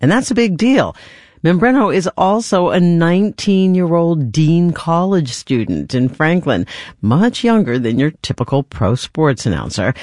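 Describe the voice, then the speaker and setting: A woman's voice: low-pitched, darkish tone, no echo. An American woman hosting public radio in a studio, moving between scripted narration and interview questions on a close mic. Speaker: radio host interviewing a guest. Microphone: close studio microphone.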